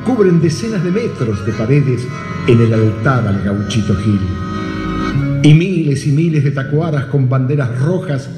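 A man's voice: medium pitch, sing-song, a little slow. A man giving solemn scripted narration for a radio drama. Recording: echoey hall, music behind.

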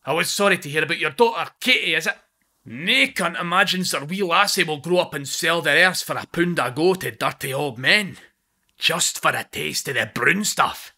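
A man's voice: a little high, normal speed, vocal fry, bright, forceful tone, voice pushed up, heavily acted. Man putting on a sneering crude football fan. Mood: taunting. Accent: Scottish. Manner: sneering, mocking taunts. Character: crude scheming male football fan, taunting.

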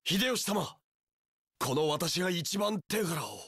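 An actor playing a vengeful, fanatically loyal young samurai warlord in an action game, with a harsh rasp and a theatrical delivery that bursts into screams. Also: male voice, high-pitched, animated, takes it slowly, fairly bright tone, no echo, clean studio sound, heavily acted. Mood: reverent. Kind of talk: anime-style action game voice acting.

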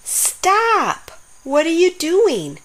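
A woman's voice: extremely high pitch, wildly swooping pitch, extremely slow, a bit bright, voice pushed up, heavily acted. Woman voicing a bossy little tough dinosaur. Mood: bossy, alarmed. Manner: emphatic, commanding. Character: small bossy bespectacled dinosaur, earnest about being tough. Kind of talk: children's storybook character voice.

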